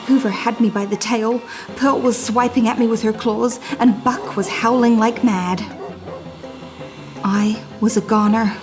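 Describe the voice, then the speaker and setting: A woman's voice: a little high, fairly even intonation, unhurried, over music, close to an everyday voice. A woman voicing a dramatic, anxious little male hamster telling his diary in a children's storybook. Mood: frightened, dramatic. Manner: melodramatic storytelling with hushed suspense and emphatic exclamations.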